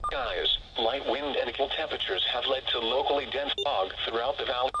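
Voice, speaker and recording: A man's voice: medium pitch, steady tone, brisk. Male weather announcer over a noisy radio. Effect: heard through a VHF radio receiver. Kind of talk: formal scripted weather report. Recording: dull noisy handheld radio speaker.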